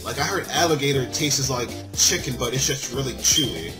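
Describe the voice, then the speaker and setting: A man's voice: medium pitch, expressive, brisk. A man hosting a gaming video, reading a visual novel aloud and chatting jokily to viewers, stumbling here and there. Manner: jokey, stumbles while reading and addresses viewers. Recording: music playing under the voice. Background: music.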